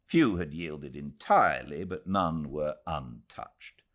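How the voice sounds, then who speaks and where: A British man narrating a literary classic as an audiobook reader, with measured, dramatic phrasing and expressive delivery. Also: rumbling low. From Britain.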